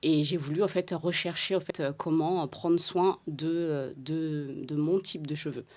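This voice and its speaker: Low-voiced woman telling her story, laughing. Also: unhurried. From Congo. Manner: animated storytelling, laughs often. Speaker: guest, hair care brand founder. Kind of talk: roundtable podcast chat.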